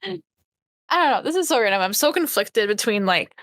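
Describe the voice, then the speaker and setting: A woman in her twenties chatting casually as a podcast co-host, animated and informal in the way she talks. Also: fairly high pitch, normal speed, no room sound, studio quality. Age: 20s. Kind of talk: casual chat.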